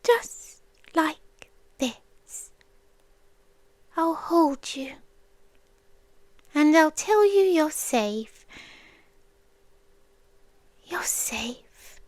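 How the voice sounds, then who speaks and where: A British woman playing a possessive, childlike female sea demon in an ASMR roleplay, speaking in a soft, breathy, coaxing voice that often drops to a whisper. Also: high-pitched, animated, very slow, long pauses, no echo, full character voice. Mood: possessive, soothing. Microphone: close microphone, dry.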